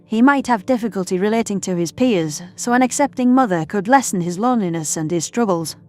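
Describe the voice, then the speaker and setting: A woman playing a patient human scientist in an audio drama, explaining things in a measured, firm way. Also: mid-range voice, darkish tone, natural voice. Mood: supportive explaining. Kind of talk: audio drama character acting. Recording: music under the voice.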